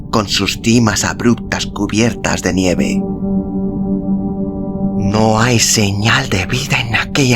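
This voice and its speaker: A man reading a literary story as an audiobook narrator, in a measured, solemn, dramatic style with deliberate pauses. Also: lowish voice. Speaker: audiobook narrator and podcast host. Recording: music behind the voice. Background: background music.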